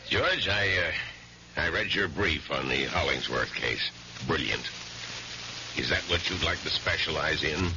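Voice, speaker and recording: An actor playing a genial older law partner, avuncular and measured, in an old radio drama. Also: male voice, a bass voice. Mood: approving. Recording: noisy dull 1970s radio recording.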